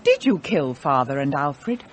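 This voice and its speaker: A woman's voice: deep voice, sing-song, measured pace, a little hiss or hum. A woman playing a magnate's cool, blunt grown daughter in a radio drama, direct and dismissive. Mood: probing, dismissive.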